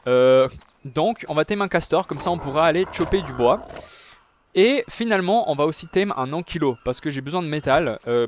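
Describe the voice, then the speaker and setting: Chatty young man narrating his gameplay. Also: fairly high pitch. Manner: chatty, talks to viewers as "les gars", many fillers. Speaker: gaming youtuber. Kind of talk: casual let's-play commentary.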